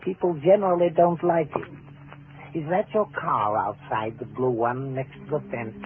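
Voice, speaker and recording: An actor playing a pale, calm stranger who foretells death, speaking deliberately and cryptically in 1940s radio drama style. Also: male voice, mid-range voice, lightly acted. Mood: cold, ominous. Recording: tinny vintage radio recording, faint noise.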